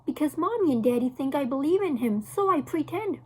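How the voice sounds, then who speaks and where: A woman's voice: sits high, animated, fairly quick, a little mellow. A woman voicing an eager, candid little boy in a children's storybook. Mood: matter-of-fact. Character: excitable, candid little boy, younger brother.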